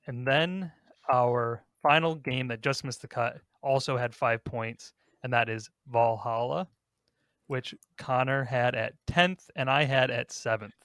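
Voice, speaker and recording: Male podcast host, average pitch, conversational countdown delivery, very dry recording. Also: measured pace, fairly bright tone, clean tone, no echo. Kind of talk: casual countdown discussion.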